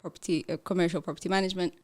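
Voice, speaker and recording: A woman's voice: on the low side, flat delivery, very fast, darkish tone. A young to thirties woman from Ethiopia, chatting casually as an interview guest and often breaking into laughter. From Ethiopia, later the Netherlands. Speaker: podcast guest.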